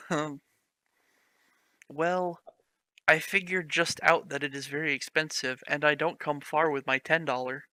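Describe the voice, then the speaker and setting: A man with a piercingly bright, fairly high voice reads a badly written story aloud, mocking its phrasing. He swears casually and keeps cracking himself up. The sound is close and dry. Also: fairly even intonation, unhurried, pauses often, no room sound. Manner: mocking, giggly, swears freely. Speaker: reader of a fan fiction. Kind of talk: comedic dramatic reading with commentary.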